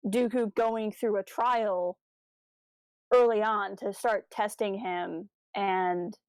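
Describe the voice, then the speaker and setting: A woman's voice: mid-range voice, fairly even intonation, slow pace, darkish tone, smooth, dry recording, low-quality recording. Woman podcast co-host, dry close sound. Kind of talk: casual podcast discussion.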